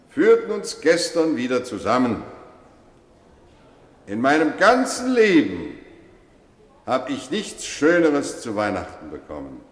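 An actor playing a kindly older male schoolteacher, giving a solemn, measured speech to his pupils in an old radio drama. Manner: solemn, measured speech with deep dips in pitch. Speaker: teacher addressing pupils. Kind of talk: 1950s radio drama acting. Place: large hall. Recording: roomy hall reverb, old radio play.

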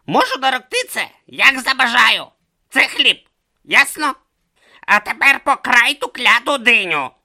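A man's voice: very high voice, big pitch swings, a little slow, sharp tone, pitched above the performer's own voice, full character voice. A man voicing a bossy, grumpy male god trapped as a tortoise, barking impatient orders.

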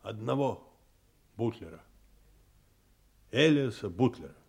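An actor playing a weary, honest smuggler in a radio play, with a blunt, halting, resigned delivery. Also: male voice, lowish voice, expressive, vocal fry, husky, exaggerated voice. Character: weary, honest male smuggler confessing to killing.

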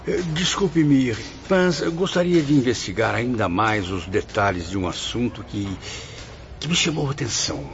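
A man's voice: mid-range voice, expressive, moderate pace, creaky, muffled recording. A man playing a curious newcomer spirit in a radio soap opera, asking questions in a courteous, formal way. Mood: curious, polite. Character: curious, polite male newcomer spirit questioning his teachers.

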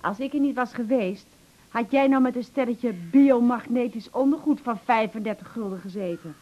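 An actress playing a sharp, sceptical wife in a radio play, with a dry and pointed way of questioning. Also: female voice, fairly high pitch, normal speed, lo-fi sound, heavy background noise, lightly acted. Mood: knowing. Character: sharp, sceptical wife who distrusts swindlers.

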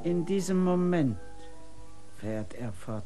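An actress playing a sharp-tongued female village innkeeper in a radio drama, with a cutting, scolding way of talking. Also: lowish voice, expressive, slow, soft background music.

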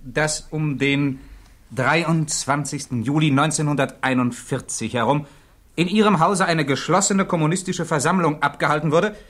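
A government counsel in a 1960s radio play. His voice sits fairly high. He is formally polite yet pointed and clipped. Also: normal speed, fairly bright tone. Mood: probing. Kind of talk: 1960s German radio drama acting.

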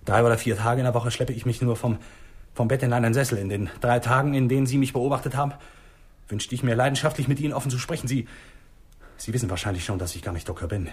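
An actor playing a frightened, sickly doctor who halts and repeats himself, in a 1960s German radio drama. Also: male voice, mid-range voice, breathy edge, natural voice. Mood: anxious confiding. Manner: halting, repeating words. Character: frightened, sickly bourgeois doctor, self-pitying and indignant.

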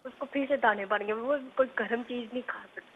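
A hoarse woman from Pakistan calling in, hurriedly listing her complaints. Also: a little high, a little fast. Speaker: caller. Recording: thin phone line with faint noise.

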